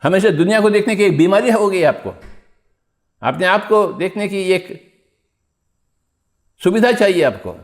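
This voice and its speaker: A man's voice: sits high, expressive, unhurried, long pauses. A man teaching meditation in an exhorting spiritual discourse, repeating his phrases and posing rhetorical questions.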